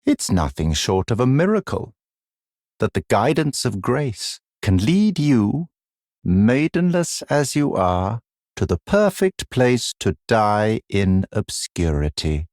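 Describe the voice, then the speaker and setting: Smug fantasy game mentor, low-pitched, creaky, mock-sympathetic, theatrical, sinister, condescending. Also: male voice, sing-song, darkish tone, no echo, clean studio sound, exaggerated voice. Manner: mock-sympathetic, theatrical, drawn-out deliberate phrasing.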